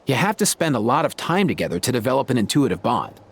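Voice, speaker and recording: A laid-back young saurian tamer. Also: male voice, medium pitch, very animated, fast talker, a little hiss or hum. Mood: easygoing. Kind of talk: game cutscene voice acting.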